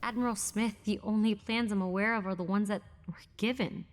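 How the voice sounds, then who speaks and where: A woman's voice: medium pitch, fairly even intonation, a little fast, lightly acted. A woman playing a self-assured, defiant military operative, firm and cutting, in a scripted drama. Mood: guarded, firm.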